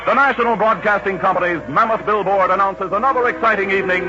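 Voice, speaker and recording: A man's voice: high-pitched, fast. A man announcing a 1940s radio show with a grandiose, theatrical, scripted delivery. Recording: dull old radio broadcast. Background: prominent music.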